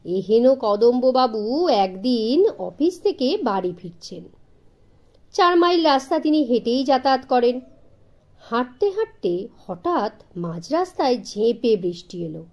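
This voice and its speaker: A woman narrating a children's story in an expressive, storybook style. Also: a little high, a little slow.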